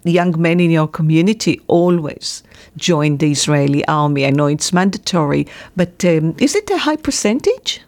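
A woman's voice: sits low, expressive, normal speed, darkish tone. A woman hosting a radio interview, asking questions with long setups.

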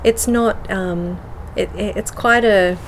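A woman with an Australian accent in a casual interview, speaking with many pauses and ums, moving between English and Slovenian. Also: mid-range voice, steady tone, a little hiss or hum. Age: adult with children. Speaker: guest.

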